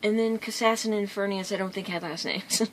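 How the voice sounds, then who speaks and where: A woman's voice: medium pitch, fairly even intonation, a little fast, a little hiss or hum, poor audio. A woman vlogger telling stories in a casual, rambling way, easily drifting off track. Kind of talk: casual storytelling vlog.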